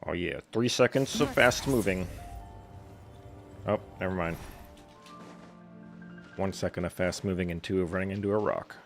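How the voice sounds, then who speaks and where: A man streaming a video game in a low, gravelly voice. He chats casually and drops dry, sarcastic asides while faint music plays behind him. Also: moderate pace, pauses often. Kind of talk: casual live game commentary.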